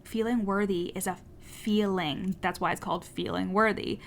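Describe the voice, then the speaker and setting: A woman's voice: mid-range voice, normal speed, vocal fry, a little hiss or hum. Candid woman coach with a stutter. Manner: candid, swears casually, animated. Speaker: podcast host and coach. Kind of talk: casual solo podcast talk.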